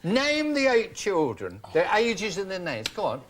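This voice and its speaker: A man's voice: sits high, wildly swooping pitch, a little slow, a bit bright. A man hosting a daytime TV interview, teasing his guests with jokey questions. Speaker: TV show host.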